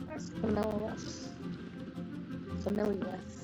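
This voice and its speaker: A woman's voice: medium pitch, level tone, slow. A woman streaming a game, chatting casually and rambling, her voice worn from losing it. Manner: chatty, rambling, laughs often. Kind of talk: casual live gameplay chat. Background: game music.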